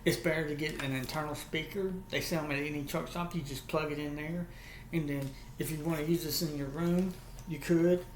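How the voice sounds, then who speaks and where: A man with a Southern US accent casually showing and explaining an item as a video host, in a folksy, repetitive way. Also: a little high. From United States, Alabama. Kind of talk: casual vlog product show-and-tell. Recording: echoey room with faint background noise.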